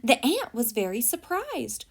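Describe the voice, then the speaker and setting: Female storybook narrator, teacher-like, high pitch, highly animated and expressive delivery. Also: unhurried, breathy edge. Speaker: teacher reading aloud.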